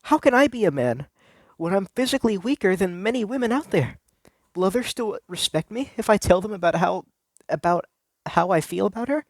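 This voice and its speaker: A man's voice: sits high, lively intonation, fairly quick, no room sound. A man reading a first-person story aloud in a theatrical, earnest, confessional way.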